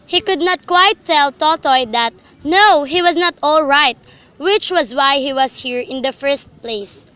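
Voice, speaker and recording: A woman's voice: high voice, measured pace, pushed and bright. A young woman reading scripted literary narration for a student radio drama. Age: young adult.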